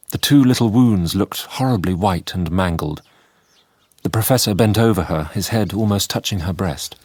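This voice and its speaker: A man's voice: sits low, no room sound. An actor playing an earnest, troubled Victorian doctor narrating his diary in a sombre, formal way. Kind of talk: scripted diary narration in radio drama.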